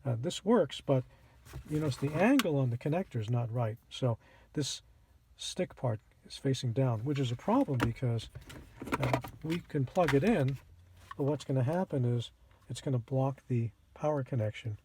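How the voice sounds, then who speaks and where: A man hosting a casual how-to demonstration, explaining things plainly and step by step with a husky voice. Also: medium pitch, expressive, moderate pace, noisy background. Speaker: host of a radio how-to channel. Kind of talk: casual instructional product demonstration.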